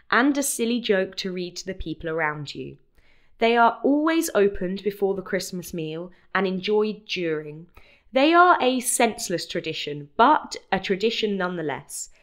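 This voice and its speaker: Female podcast host, British accent, mid-range pitch, scripted and clearly explanatory delivery. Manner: clear, explanatory, spells out words. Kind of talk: scripted educational narration.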